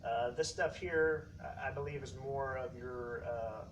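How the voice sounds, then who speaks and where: A man casually demonstrating hobby gear as a video host, explaining in a halting, rambling way. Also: medium pitch. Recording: distant mic, faint background noise.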